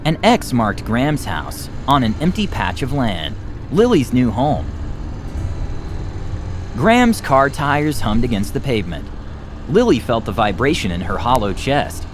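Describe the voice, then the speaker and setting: A man's voice: medium pitch, very animated, unhurried, slight background noise. A man narrating a children's storybook expressively, as a storytime reader. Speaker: storytime reader, music club teacher.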